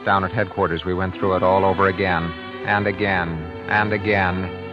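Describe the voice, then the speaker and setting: Over a muffled vintage radio recording with music behind, an actor plays a calculating man accused of murder. His voice is low and deliberate, turning cagey and halting when pressed, in classic radio suspense style. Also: little pitch movement, lightly acted. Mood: measured, brooding. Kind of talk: 1940s-50s radio suspense acting, first-person narration.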